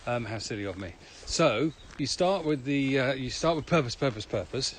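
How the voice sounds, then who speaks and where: A man's voice: mid-range voice, animated, moderate pace, a little hiss or hum. A British man co-hosting a casual podcast, asking questions and echoing the answers back to sum them up. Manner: inquisitive, echoes and sums up answers. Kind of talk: casual conversational interviewing.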